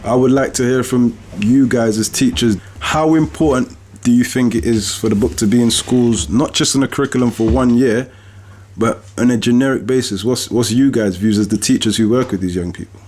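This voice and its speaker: A man's voice: mid-range voice, normal speed, darkish tone, slight background noise. A man speaking earnestly and conversationally as an author hosting a podcast.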